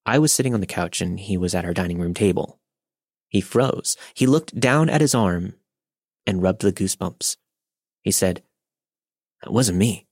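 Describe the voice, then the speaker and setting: Brief: low male narrator, calm scripted reading, close dry mic. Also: moderate pace, long pauses, dry recording. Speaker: podcast narrator. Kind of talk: scripted narration of a listener's story.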